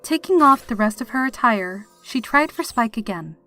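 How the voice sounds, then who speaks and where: A woman's voice: on the high side, expressive, normal speed, sharp tone. Woman narrating horror fiction over music. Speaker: story narrator. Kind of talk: dramatic scripted horror story reading. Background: background music.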